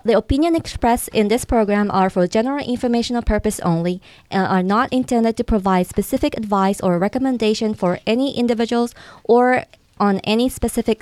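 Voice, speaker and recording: A woman's voice: fairly high pitch, darkish tone, no echo. Woman with a Japanese accent, careful. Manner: careful, polite, with hesitant fillers. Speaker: guest, estate planning attorney. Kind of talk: interview answers and read-out disclaimer.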